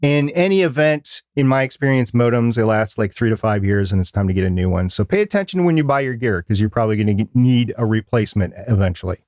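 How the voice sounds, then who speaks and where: A man's voice: medium pitch, animated, fast, few pauses, a little mellow, dry recording, clean studio sound. A man co-hosting a podcast, explaining points in a conversational way. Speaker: podcast co-host.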